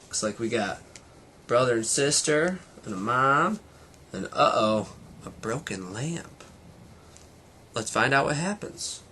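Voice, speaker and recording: A man's voice: mid-range voice, lively intonation, takes it slowly, pauses often, pushed and bright, slight background noise. A man, a teacher, reading a children's storybook aloud to students with casual little asides.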